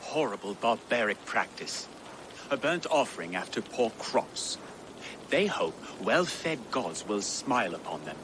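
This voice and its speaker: A man's voice: fairly low pitch, very animated, unhurried, noisy background. A man acting a sociable but principled nobleman in a dramatic game cutscene. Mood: disapproving.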